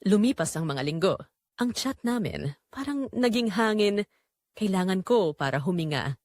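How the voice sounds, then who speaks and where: A woman's voice: medium pitch, very animated, normal speed, darkish tone, no room sound. A woman narrating a first-person romantic drama in an intimate, dramatic way. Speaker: audio story narrator.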